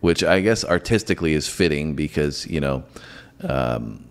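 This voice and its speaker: An easygoing young man talks in a very deep, low voice. He co-hosts a music reaction video and chats loosely with plenty of fillers. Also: animated, normal speed, vocal fry, clean tone. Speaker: co-host of a music reaction channel. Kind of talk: casual chat.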